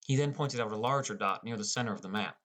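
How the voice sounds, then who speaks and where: A man's voice: fairly low pitch, fast, fairly bright tone. A man narrating a scripted first-person story as an audiobook narrator.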